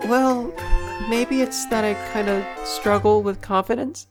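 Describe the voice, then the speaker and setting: A woman's voice: mid-range voice, fairly even intonation, measured pace, music in the background, close to an everyday voice. A woman playing a shy, self-doubting young model in a fan audio drama, hesitant and stammering. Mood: shy, unsure. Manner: hesitant, soft-spoken, stammering.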